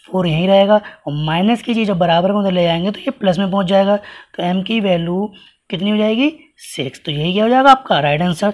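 A man teaching a maths lesson with a hoarse voice that is giving out. He works through each step patiently and keeps asking the class what comes next. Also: high voice. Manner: patient, explains with repeated rhetorical questions. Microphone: hands writing on paper, camera over the page.

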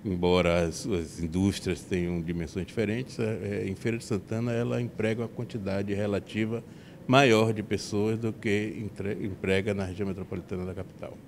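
A man's voice: fairly low pitch, fairly even intonation, moderate pace, creaky, a little hiss or hum. A man, answering an interview on a handheld mic in a meeting room, hesitating and repeating words. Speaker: researcher interviewed. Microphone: handheld reporter mic.

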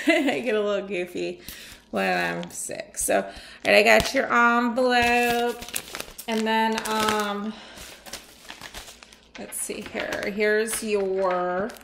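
A middle-aged woman chatting casually as she unboxes a swap package at home, rambling and thinking out loud, her voice a little worn as she comes down with something. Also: medium pitch, drawn-out words, gravelly, noisy background. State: losing her voice, getting sick. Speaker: nail art swap host. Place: sunny room at home by a window.

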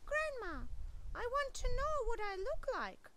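A tiny young girl possum from a storybook, put on by a woman reading aloud. The voice sits very high, far above a normal speaking voice, and is childlike and sweet, with a pleading manner. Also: big pitch swings, measured pace, background noise, exaggerated voice.

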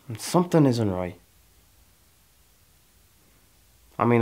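A man with an Irish accent acting a dramatic monologue as a proud, brooding, restless settler who has killed, speaking deliberately with pauses. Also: mid-range voice, darkish tone, lightly acted. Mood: impassioned, anguished.

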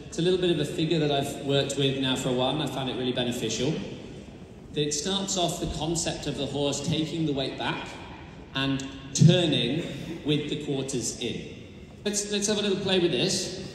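Riding coach echoing across an arena. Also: male voice, on the high side, moderate pace, a little hiss or hum. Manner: directive, encouraging coaching calls. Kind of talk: live riding instruction in an arena. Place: large indoor riding arena. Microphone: far camera. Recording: echoey arena from far off.

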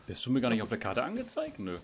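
A man's voice: medium pitch, huge pitch swings, quick pace, vocal fry, slight background noise. A man chatting casually while playing a survival game, teasing himself and his teammate with frequent tag questions. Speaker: gamer streamer. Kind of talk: casual gameplay chat.

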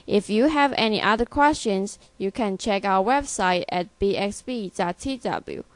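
A woman presenting a product in scripted narration, reading in a measured, item-by-item way. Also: medium pitch, expressive, dry recording.

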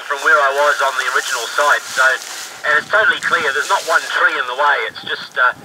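A man's voice: fairly high pitch, steady tone, a little fast, pushed and bright. A man, an amateur radio operator, chatting casually over a two-way radio. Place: radio link, heard outdoors. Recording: noisy FM radio through outdoor speaker.

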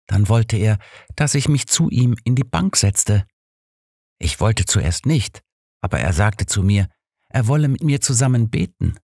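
A man's voice: on the low side, animated, measured pace, darkish tone, slightly rough, no echo, clean studio sound, heavily acted. Man acting as young girl, frightened, hurt, hesitant, childlike wording, audiobook diary. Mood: uneasy, confiding. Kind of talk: audiobook character reading.

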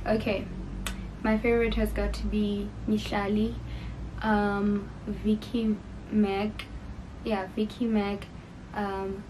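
A woman's voice: mid-range voice, noisy background. A young woman in her twenties with a South African accent, whose first language is Sepedi, chatting casually to camera in a get-to-know-me video from her bedroom. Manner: chatty, gushing, with frequent ums and laughs. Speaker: YouTuber. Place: bedroom, indoors. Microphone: camera in front, seated facing it.

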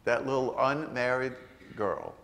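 An older man, a priest, delivering a sermon in a large church, slowing down to stress key phrases. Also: mid-range voice, animated, creaky, fairly bright tone, slight background noise. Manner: unhurried, earnest preaching with slowed emphatic phrases.

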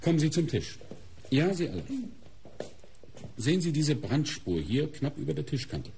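An actor playing a shrewd, courteous police inspector in a German radio crime drama, speaking in a deliberate, formal, dramatic way. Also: male voice, on the high side, sing-song, gravelly, mellow, a little hiss or hum. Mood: confident, deliberate.

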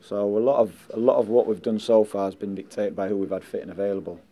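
Forty-something football boss, really dark low voice, blunt and measured, lots of um and listen, close mics. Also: male voice. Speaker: football manager. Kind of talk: post-match press interview. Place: indoors in front of a sponsor board. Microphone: handheld mics held close.

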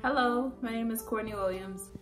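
A woman's voice: on the high side, moderate pace, soft background music. A woman from New Orleans, a student speaking candidly about making a documentary. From New Orleans, Louisiana. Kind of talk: reflective testimonial.

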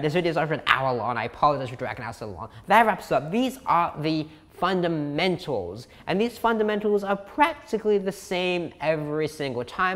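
A man's voice: a little high, a bit bright, big-room sound. A young man teaching an online course in a chatty, emphatic way, repeating key points, his voice tiring from talking a long time. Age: young adult. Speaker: SEO course instructor. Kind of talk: casual tutorial explanation to camera. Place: indoor living room.

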